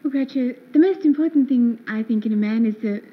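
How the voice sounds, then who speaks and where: A woman's voice: on the high side, fairly quick, noisy background. A cheerful, giggly woman from Sweden, a game show contestant answering the host. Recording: dull old television broadcast audio.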